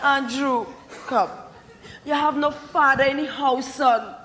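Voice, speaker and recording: A woman's voice: high-pitched, steady tone, slow pace, a bit bright. A woman playing a pleading single mother. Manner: pleading, often crying.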